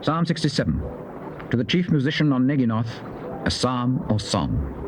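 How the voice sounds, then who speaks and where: A man's voice: medium pitch, big pitch swings, normal speed, music in the background. A man making formal scripted announcements of titles in a scripture recording. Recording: noisy cassette recording.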